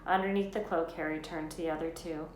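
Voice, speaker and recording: A woman reading a children's novel aloud as an expressive storyteller. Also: deep voice, fast talker. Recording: roomy echo, faint background noise.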